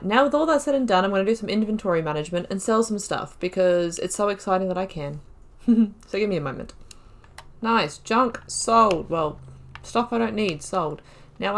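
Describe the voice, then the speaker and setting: A young woman hosting a casual let's-play, chatting excitably and talking to herself while she plays. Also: mid-range voice. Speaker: gaming channel host.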